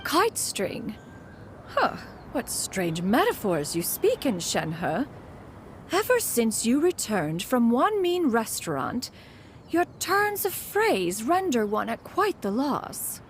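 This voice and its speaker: A woman's voice: on the high side, wildly swooping pitch, slight background noise, heavily acted. A woman voicing a proud, formal female crane spirit in a game, speaking in a stately, archaic way and calling herself "one". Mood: haughty. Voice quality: stately, measured. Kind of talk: game voice acting. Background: game music.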